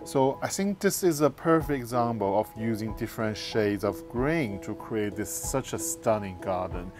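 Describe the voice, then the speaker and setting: A man's voice: fairly low pitch, lively intonation, moderate pace. A man hosting a casual guided tour, explaining things appreciatively in non-native phrasing. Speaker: host, garden visitor filming a tour. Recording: music behind.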